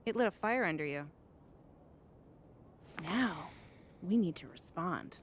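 A woman's voice: lowish voice, expressive, slow, slight background noise. An actress playing a blunt, calculating female adviser who argues emphatically and condescendingly, in a naturalistic video game cutscene style. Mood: pushy, provocative.

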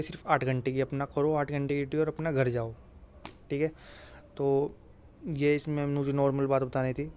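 A man's voice: mid-range voice, darkish tone. A young man casually explaining exam and job details to students in a chatty way, often checking in with them. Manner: chatty, keeps asking "theek hai na bhai". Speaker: exam coaching YouTuber.

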